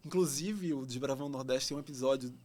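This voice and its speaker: A man's voice: mid-range voice, fast talker, a little mellow. Earnest Pernambuco-accented young man. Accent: Pernambuco Portuguese. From Pernambuco, Brazil. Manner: reflective, earnest, many fillers. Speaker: actor, podcast guest. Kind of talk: casual interview talk.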